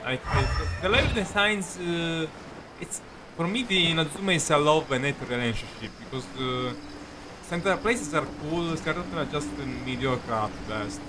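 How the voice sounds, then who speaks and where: A man streaming a video game with casual, rambling commentary, halting and jokey, often repeating his words. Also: fairly high pitch, expressive, background noise. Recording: game music behind the voice. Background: game music.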